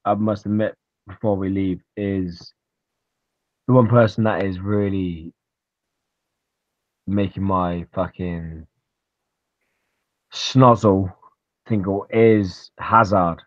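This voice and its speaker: A man's voice: low-pitched, fairly even intonation, slow, long pauses, no echo. A man co-hosting a fantasy football podcast, chatting informally about football with some swearing, over a call. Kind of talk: casual football chat. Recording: dull, lo-fi call audio.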